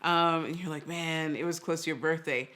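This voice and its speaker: A woman's voice: low voice, steady tone, normal speed, a bit bright. A woman hosting a podcast interview in a casual, chatty way, thinking aloud and wandering into tangents. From Trinidad and Costa Rica. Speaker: podcast host.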